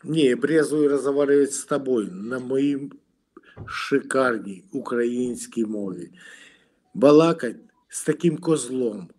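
A man's voice: mid-range voice, takes it slowly, a little mellow. A middle-aged man arguing over a video call from his room at home, aggressive and mocking, swearing and throwing insults. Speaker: video chat stranger. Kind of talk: heated argument. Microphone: webcam on a video call.

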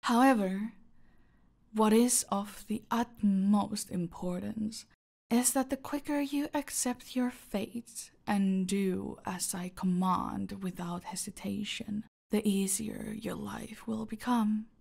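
A woman acts out a female crime boss in an audio roleplay, seductive and utterly in command. She speaks extremely slowly, with a husky, breathy voice that often sinks into whispers. A crackly fry edges her haughty, menacing phrases. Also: mid-range voice, a little mellow. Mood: cold menace. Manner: slow, menacing, haughty, purring endearments. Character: seductive, domineering female cartel boss villain. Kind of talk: scripted roleplay audio acting.

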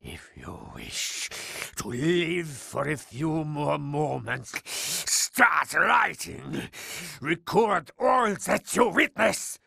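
A man playing a grandiose mad villain in a video game, raspy and theatrical, making slow threats. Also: on the high side, big pitch swings, pushed and bright, dry recording, studio quality, exaggerated voice. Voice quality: gravelly, raspy.

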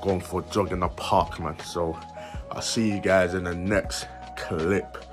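A young man with a London accent chatting in slang to his phone camera while out jogging, with lively asides. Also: sits low, measured pace, music in the background. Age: young adult. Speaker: vlogger. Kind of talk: casual vlog talk to camera. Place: outdoors in a park and streets at dawn, also a bedroom. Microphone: phone held at arm's length.

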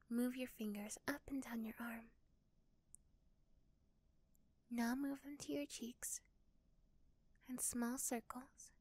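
A woman voicing a young, caring but easily flustered girl next door in an ASMR roleplay, with an emotional, halting delivery. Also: a little high, a little mellow, breathy edge, dry recording. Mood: soothing, hushed.

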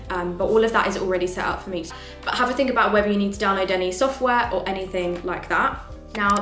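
A woman's voice: mid-range voice, fairly bright tone, roomy sound. A young woman vlogger who talks to camera from her home desk and explains how she plans coding projects step by step, in a practical, casual way. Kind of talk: casual tutorial talk to camera.

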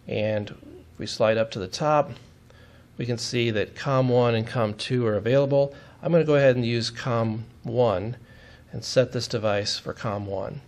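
A man's voice: medium pitch, a little mellow. A man giving a step-by-step technical demonstration in a methodical, plain-spoken way, as an instructor would.

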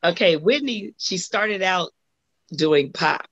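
Husky middle-aged woman on a lo-fi call. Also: on the low side, lively intonation, slow, a bit bright, no room sound. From Los Angeles, USA. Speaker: guest singer. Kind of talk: interview on a video call. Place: home room indoors. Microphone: video call. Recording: dull lo-fi video call audio.

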